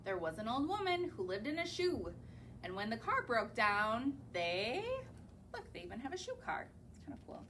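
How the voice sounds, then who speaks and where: A woman's voice: a little high, very animated, moderate pace, fairly bright tone, a little hiss or hum. A young woman, a children's librarian, reading picture books aloud in a library in a sing-song way and asking the children questions. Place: public library.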